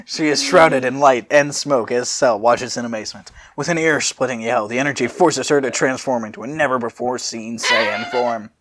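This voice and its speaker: A man's voice: medium pitch, normal speed, hardly any pauses, a bit bright. A man reading fanfiction aloud as a storyteller, dropping in casual asides. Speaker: fanfiction reader.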